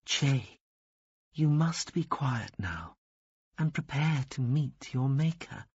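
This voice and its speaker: A man voicing a great dark winged angel of death, solemn and pious. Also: mid-range voice, animated, takes it slowly, slightly rough, no echo, dull audio, voice pushed up, exaggerated voice.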